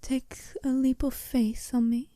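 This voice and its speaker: A woman voicing a seductive, self-assured werewolf bride in an ASMR roleplay, soft-spoken and teasing, often slipping into a breathy whisper. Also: sits high, little pitch movement, no room sound, clean studio sound. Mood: alluring, challenging. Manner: slow, teasing, deliberate, intimate.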